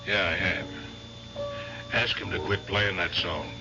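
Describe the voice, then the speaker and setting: An actor playing a wealthy middle-aged man in a 1970s radio drama, gravelly and stammering when shaken. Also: deep voice, steady tone, measured pace. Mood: rattled. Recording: dull lo-fi radio broadcast with music.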